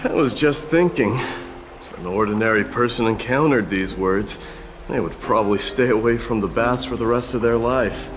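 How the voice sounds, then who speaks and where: An actor playing a proud, resolute warrior prince and demigod in a video game, speaking formally and firmly. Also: male voice, medium pitch, normal speed, noisy background, close to an everyday voice. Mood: wry. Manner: formal, solemn, boldly declarative. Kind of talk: dramatic video game voice acting. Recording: loud music behind the voice. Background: game music.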